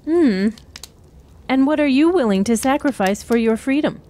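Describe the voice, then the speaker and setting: A woman playing a cryptic, sorrowful wise woman who counsels youths in measured riddles in a fantasy radio drama. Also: sits high, lively intonation, mellow. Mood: probing, cryptic. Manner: measured, riddling, archaic storyteller phrasing.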